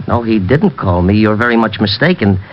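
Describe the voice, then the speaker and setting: A man's voice: fairly low pitch, animated, moderate pace, natural voice. An actor playing a flustered, defensive businessman with something to hide, stammering and repeating himself in an old-time radio crime drama. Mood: guarded, evasive. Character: cornered, flustered laundry owner hiding guilt, indignant. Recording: dull, noisy old radio recording.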